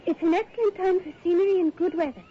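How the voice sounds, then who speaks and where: A woman playing an odd, polite innkeepers' daughter in an old-time radio drama, speaking in a deliberate, slightly eerie way. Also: extremely high pitch. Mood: politely eerie. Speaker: supporting character, innkeepers' daughter. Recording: muffled, noisy old radio recording.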